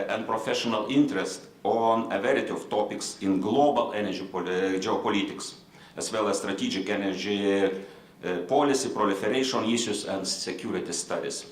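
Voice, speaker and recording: A man with a Lithuanian accent moderating a conference panel in formal, halting English, with many fillers and restarts. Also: on the low side, roomy sound. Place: conference room.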